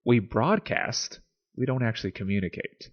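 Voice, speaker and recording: Male podcast host, lowish voice, conversational lecturer, somewhat muffled lo-fi recording. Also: lively intonation, normal speed. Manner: conversational lecturing, rhetorical check-ins. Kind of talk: explanatory philosophy podcast monologue with read-out essay passages. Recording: dull lo-fi audio over music bed. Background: background music.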